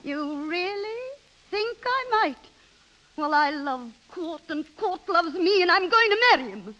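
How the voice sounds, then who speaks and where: High-voiced, theatrical 1930s radio wife. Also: female voice, sing-song, measured pace, bright, forceful tone, exaggerated voice. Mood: defiant, angry. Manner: theatrical, emotional delivery. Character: restless, married American wife, romantic and defiant. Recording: vintage 1930s radio broadcast.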